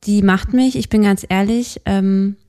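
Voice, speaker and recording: A woman's voice: medium pitch, fairly even intonation, measured pace, dark tone. A woman in her early thirties talking candidly in a podcast conversation, hesitant, with many fillers and restarts. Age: 30s. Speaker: podcast co-host.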